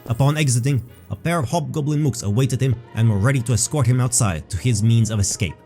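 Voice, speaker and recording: Casting: male storyteller, medium pitch, measured and dramatic scripted fantasy narration. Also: dark tone, faint music behind.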